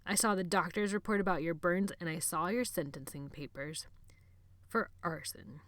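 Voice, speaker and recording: A woman reading an audiobook, voicing a cruel, sneering boy bully who draws out his taunts slowly. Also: on the low side, no room sound, lightly acted. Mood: low sneer. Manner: slow, drawn-out taunting. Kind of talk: audiobook character voice.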